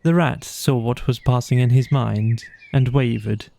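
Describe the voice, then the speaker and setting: Man narrating a bedtime story, slightly creaky. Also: medium pitch, fairly even intonation, a little slow, dark tone. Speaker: audiobook narrator.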